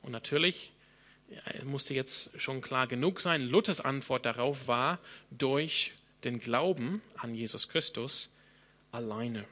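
A man's voice: medium pitch, a little slow, sharp tone. A man in his thirties, a pastor from Australia whose first language is English, preaching a sermon in German with an Australian accent, often restarting and repeating words as he thinks aloud.

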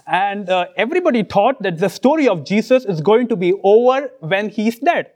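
A man with an Indian accent delivers an earnest sermon in English, his voice sitting unusually high for a man. He speaks in short phrases and pauses after each one so an interpreter can follow. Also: lively intonation, a little mellow. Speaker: preacher.

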